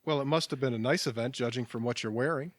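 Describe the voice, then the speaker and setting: An actor playing a mild, kindly businessman in a naturalistic audio drama, talking in a gentle, measured, slightly hesitant way. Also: medium pitch, no room sound, studio quality, natural voice. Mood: gently teasing. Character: mild, settled, kindly businessman, a patient listener.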